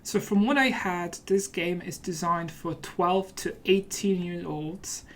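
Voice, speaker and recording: A man's voice: high-pitched, steady tone, slow, slight background noise. A man hosting a let's play, giving casual commentary in a playful, mock-serious manner.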